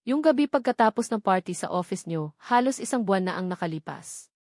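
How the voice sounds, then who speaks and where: A distraught young woman in a romance story , as a woman narrator plays her. She pleads desperately and haltingly, in a dry, close recording. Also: mid-range voice, expressive, darkish tone, no room sound, lightly acted. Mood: desperate, insistent. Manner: stammering, desperate.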